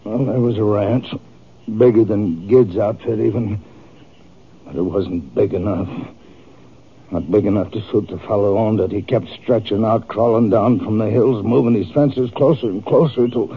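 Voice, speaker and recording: A wounded, embittered farmer of the Old West acted by a man, low-voiced and rustic. He talks haltingly and wearily under strain, in old radio drama style, through a thin, phone-like vintage recording. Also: slight background noise, lightly acted. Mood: bitter, resentful. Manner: rustic, halting, weary speech. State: injured, strained. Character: wounded, bitter, grieving male homestead farmer from Texas. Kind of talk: 1950s radio western acting. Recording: narrow, muffled old-time radio recording.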